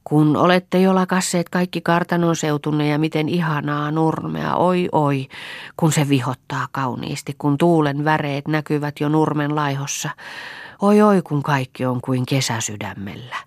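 A cheerful pastor's wife in an old storybook, lifted and bright as she calls out, as the narrator puts her on. The voice sounds dry and close to the mic. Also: medium pitch, dry recording, natural voice. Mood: admiring. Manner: cheerful, exclaiming.

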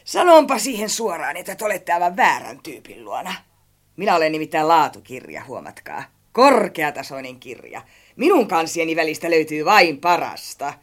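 A man's voice: fairly high pitch, sing-song, a bit bright, voice pushed up. A man voicing a talking cookbook, a pompous, theatrical gourmet snob who is easily offended, in an audiobook story. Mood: indignant, snobbish.

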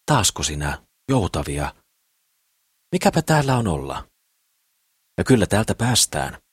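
A man voicing a brash young woman in an audiobook, brisk and scornful but comforting. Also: low voice, big pitch swings, breathy edge, dry recording, studio quality, full character voice. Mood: teasing, consoling. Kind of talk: audiobook character voice.

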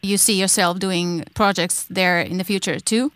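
Woman interviewer, music journalist, clear resonant voice, hesitant questions. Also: lowish voice, fairly even intonation, normal speed, a bit bright, no room sound, clean studio sound. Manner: plain questions with some hesitations.

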